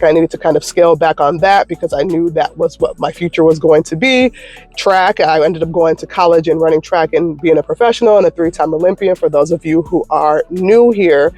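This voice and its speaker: A woman's voice: fairly low pitch, darkish tone. A woman in her thirties from Michigan, chatting through a casual question-and-answer vlog from her parked car in an upbeat, encouraging way. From Michigan, United States. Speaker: YouTuber. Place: parked car in a parking lot.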